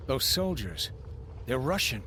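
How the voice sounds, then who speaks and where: An actor playing a determined, gruff frontier leader in a dramatic video game, with terse, resolute delivery. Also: male voice, medium pitch, big pitch swings, unhurried, bright, forceful tone, slight background noise.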